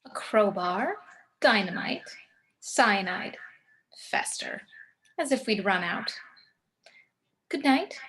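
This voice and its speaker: A woman playing a languid, doting, macabre lady of the house in a table read, with drawn-out theatrical delivery. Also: mid-range voice, lively intonation, fairly bright tone, low-quality recording. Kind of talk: film script table read.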